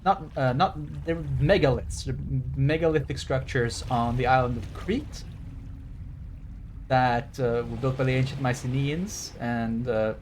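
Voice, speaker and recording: A man with a medium-pitched voice commentates on a game for a livestream. He is casual and wry, often digressing to explain things. Faint ambient noise sits behind him. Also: unhurried, darkish tone. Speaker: game streamer.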